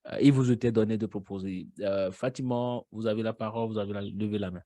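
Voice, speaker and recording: A man's voice: lowish voice, steady tone, fast, warm tone, no room sound. A man moderating a webinar over a video call in a formal, courteous way.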